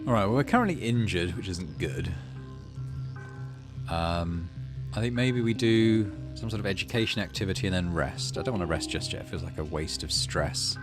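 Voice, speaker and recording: A man's voice: deep voice, normal speed, music in the background. A man hosting a gaming video, reading the game's text aloud and musing casually to himself as he plays. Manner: thinks aloud, murmurs to himself. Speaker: gaming channel host. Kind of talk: reading game narration aloud, casual musing while playing.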